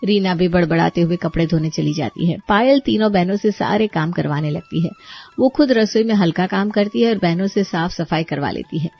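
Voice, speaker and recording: A woman's voice: on the low side, brisk, gravelly, lo-fi sound. A woman reading scripted storybook narration as the narrator.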